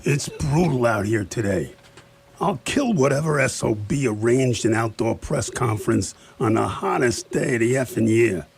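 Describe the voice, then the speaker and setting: An actor playing a gruff, irritable county sheriff who grumbles and curses in a radio play. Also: male voice, mid-range voice, expressive, moderate pace. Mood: grumbling, irritated. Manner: irritable, cursing, exasperated.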